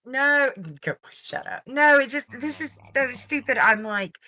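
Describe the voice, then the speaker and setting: A woman's voice: high voice, unhurried, pushed and bright, rough recording. A woman co-hosting casual live reaction commentary in an exasperated, mock-ranting way. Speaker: live stream commentary co-host.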